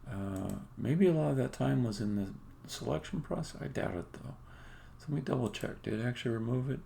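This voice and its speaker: A man talking casually to himself in halting mutters, thinking aloud as he live-codes on a stream. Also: medium pitch, creaky, dark tone. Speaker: game developer live-streaming a coding session. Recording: faint background noise, typing and clicks. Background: computer keyboard typing and mouse clicks.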